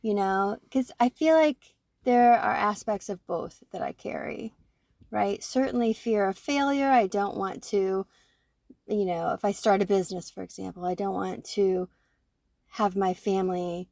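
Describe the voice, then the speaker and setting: A woman in her forties, co-hosting a podcast, talking through her own thoughts in an animated way and often checking in with "right?". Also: medium pitch, unhurried, creaky, no room sound, studio quality. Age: 40s. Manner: animated, self-reflective, fond of tag questions like "right?". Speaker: podcast co-host. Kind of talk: casual conversation.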